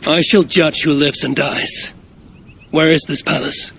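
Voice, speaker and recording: A man's voice: a little high, steady tone, a little slow, vocal fry, fairly bright tone, slight background noise. A man playing an imperious Norse god, lord of Asgard, in a video game, curt and demanding with formal archaic phrasing. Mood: stern. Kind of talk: cinematic video game acting.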